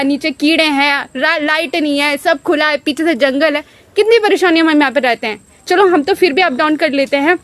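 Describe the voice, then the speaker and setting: A woman in her twenties from Uttarakhand, a protesting trainee teacher, argues her case with indignation and urgency at an outdoor protest site. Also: sits high. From Uttarakhand, India. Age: 20s. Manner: indignant, pleading, rapid argument. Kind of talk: impassioned street interview.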